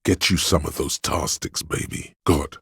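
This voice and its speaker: A man voicing a seedy, hedonistic inner voice of addiction in a video game, gravelly and husky, coaxing in a sly, seductive way. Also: very deep voice, fairly even intonation, measured pace, dry recording, clean studio sound, exaggerated voice. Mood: relishing, craving. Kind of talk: video game character voice lines.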